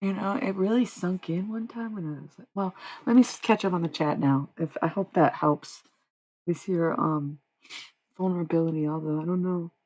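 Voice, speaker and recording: A woman's voice: fairly low pitch, normal speed, darkish tone, low-quality recording. A young woman livestreaming, chatting with viewers in a rambling, dreamy way and swearing freely. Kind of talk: casual livestream chat with viewers.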